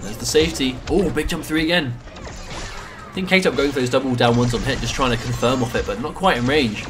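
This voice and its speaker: Fast-talking congested British commentator. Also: male voice, mid-range voice, music in the background. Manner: quick analytical play-by-play. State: congested with a cold. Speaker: fighting game commentator. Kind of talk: esports commentary.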